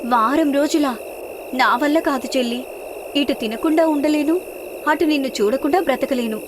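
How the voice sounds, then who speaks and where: A woman's voice: sits high, normal speed, background noise, lightly acted. A woman voicing a gluttonous, guileless elder sister who excuses herself in a moral story. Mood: pleading.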